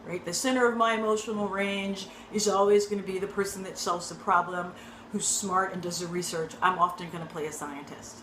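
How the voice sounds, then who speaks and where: A woman acting coach giving an energetic talk to actors, often checking in with "right?". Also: lowish voice, fairly quick, a little hiss or hum. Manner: energetic, keeps asking "right?". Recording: echoey room with faint ambience.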